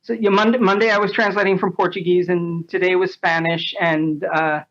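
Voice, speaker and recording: Male interview guest, casual storyteller with a thoughtful, hesitant delivery. Dull, low-fidelity recording. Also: little pitch movement, normal speed, a bit bright. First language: English. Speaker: podcast guest, translator. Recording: dull lo-fi audio.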